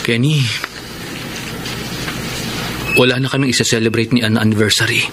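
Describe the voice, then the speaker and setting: An actor playing a heartbroken, devoted boyfriend in a Filipino radio melodrama, with a halting, pleading, emotive delivery. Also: male voice, mid-range voice, fairly even intonation, noisy background, close to an everyday voice. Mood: dejected. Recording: loud dramatic music under the voice.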